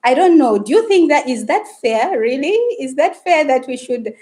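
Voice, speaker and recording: Woman, Luganda speaker, live-stream host, chatty and a touch high-pitched. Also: lively intonation, moderate pace, a little mellow. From Uganda. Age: adult woman, married. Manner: chatty, greets and reads viewer comments aloud. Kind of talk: casual live-stream chat.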